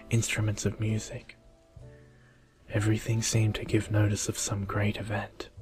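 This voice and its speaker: A man reading a bedtime story in a soft, hushed, gentle and measured ASMR style. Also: fairly low pitch.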